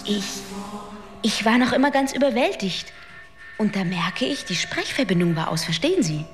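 An actress in a radio drama playing an intense, searching woman, speaking intimately in halting phrases. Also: medium pitch, expressive, natural voice. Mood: awestruck. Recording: echoey, loud music underneath.

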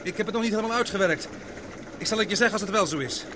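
A man's voice: high-pitched, racing, background noise, natural voice. A man acting in a radio drama, playing an earnest, practical adventurer who explains things eagerly. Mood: thoughtful. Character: Paul, earnest, practical adventurer, improvising a bold plan.

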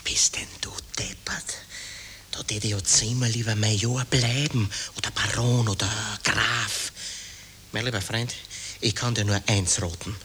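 Sharp, low-voiced Austrian army clerk. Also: male voice, steady tone, unhurried. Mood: alarmed. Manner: folksy, dialect-coloured. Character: blunt, wary Austrian army clerk, plain-spoken corporal. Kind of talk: 1960s radio drama acting. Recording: hissy old radio tape.

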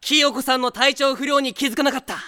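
Grandiose high-pitched schoolboy. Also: male voice, fairly even intonation, fast talker, pushed and bright. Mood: proud, earnest. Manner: grandiose, earnest. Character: brash, intense high school boy, theatrically proud. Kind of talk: Japanese anime audio drama.

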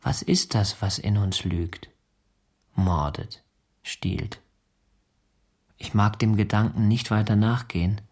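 An actor playing a brooding revolutionary writer, reciting literary letters in an experimental radio play. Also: male voice, on the low side, breathy edge. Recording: music mixed loudly over the voice. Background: loud brass music.

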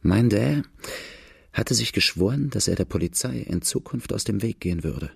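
A man playing a grave outlaw who recounts his hard life in a radio drama, measured and plain-spoken. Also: fairly low pitch, lively intonation, vocal fry, slightly rough, no echo, clean studio sound, exaggerated voice. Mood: grave, reflective. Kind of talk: first-person radio drama narration.

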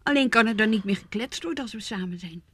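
An actress in a radio play playing a young, manipulative fiancée, pressing and coaxing. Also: female voice, on the high side, expressive, fast, a bit bright, slightly rough. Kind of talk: Dutch radio play acting.

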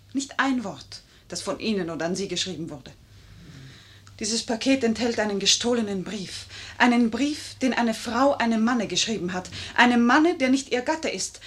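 A woman's voice: on the high side, animated, moderate pace, close to an everyday voice. An actress playing a clever, proud, passionate lady in a 1950s German radio play, with a theatrical, eloquent and pointed way of speaking. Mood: earnest, pleading.

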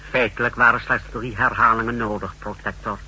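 Sharp-voiced clinical secret service man. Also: lowish voice, flat delivery, a little fast, husky, full character voice. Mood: clinical, formal. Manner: formal, precise, explanatory. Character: clinical secret service technician briefing his superior. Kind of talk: 1960s radio drama acting. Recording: noisy, humming 1960s radio recording.

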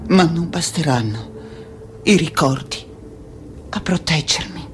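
An actress playing an anguished, defiant, aging woman scholar in a radio play, speaking in halting, impassioned repetitions. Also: low-pitched, animated, background noise. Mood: anguished, foreboding. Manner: halting, repeating words, impassioned. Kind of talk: dramatic radio theatre monologue. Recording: music under the voice. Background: music.

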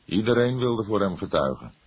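Male reader as older detective, low husky voice, calm, wry, disapproving, unhurried lecturer, audiobook character. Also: no room sound. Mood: measured.

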